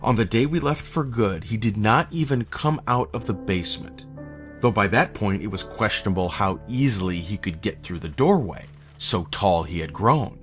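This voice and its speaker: A man reading a scripted first-person horror story in a measured, somber, literary manner. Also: lowish voice, expressive, soft background music.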